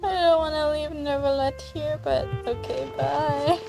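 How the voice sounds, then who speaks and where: A woman's voice: high-pitched, fairly even intonation, a little slow, creaky. A woman chatting casually as she plays a game, giggly and slangy, full of exclamations. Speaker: game streamer. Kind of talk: casual gameplay reaction chat. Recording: faint game music behind.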